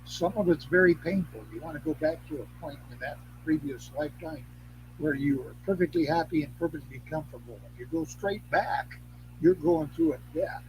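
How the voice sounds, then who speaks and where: An older man with a somewhat high voice talks in a rambling, anecdotal way. He is folksy and salty, halting and repeating himself as he explains. A noticeable hum and noise sit behind him. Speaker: guest, Craft elder and teacher. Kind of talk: rambling anecdotal interview talk. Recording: clearly noisy background hum.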